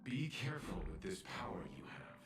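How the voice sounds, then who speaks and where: An actor playing a grave, caring, mature male mentor in a video game, with a measured, cautionary delivery. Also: mid-range voice, sing-song, roomy sound, close to an everyday voice. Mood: concerned.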